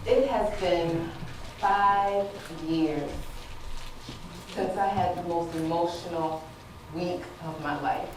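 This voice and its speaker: Casting: actress as heartfelt wife on stage, slow dramatic storyteller, distant in a very echoey hall. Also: female voice, fairly low pitch. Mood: tense, reflective. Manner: deliberate, dramatic storytelling with repeated phrases. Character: hopeful, emotional wife recounting her long-awaited first pregnancy. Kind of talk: theatrical stage monologue. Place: stage in a theater. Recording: very echoey stage, distant mic, audible room noise.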